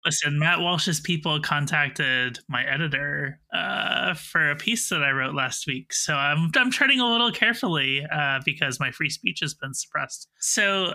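Very bright-voiced man co-hosting a podcast. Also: fairly high pitch, animated, normal speed, gravelly, few pauses, rough recording. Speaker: podcast co-host, journalist. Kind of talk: casual discussion.

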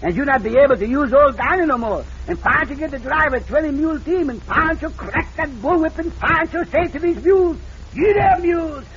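An excitable Mexican cowboy sidekick with a very high, creaky voice. He speaks accented broken English and calls himself by name, in old western radio style on a narrow, noisy recording. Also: brisk. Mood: boastful, excited. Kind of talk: 1940s-50s western radio acting.

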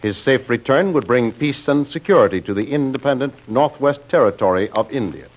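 A man narrating an old radio serial as announcer, formal and dramatic. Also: medium pitch. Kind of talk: vintage radio scripted narration. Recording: muffled old radio recording.

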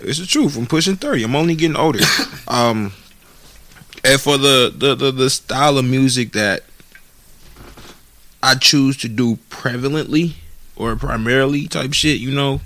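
A man's voice: mid-range voice, normal speed, long pauses, a bit bright, dry recording. A man in his late twenties talking casually on a podcast, slangy and profane, often repeating words while he thinks. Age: 20s. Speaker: podcast co-host, aspiring rapper. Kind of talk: casual chat.

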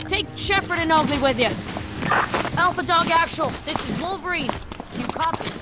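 An actress in an audio drama playing a fierce, commanding female leader of an armed squad, intense, with loud music under her. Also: very high voice, moderate pace. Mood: urgent, commanding.

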